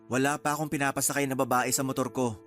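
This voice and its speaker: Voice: medium pitch, fairly even intonation, quick pace, fairly bright tone, faint music behind, lightly acted. An actor playing a guarded, protective young love interest in a radio drama, with a Filipino accent and restrained delivery. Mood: guarded, concerned.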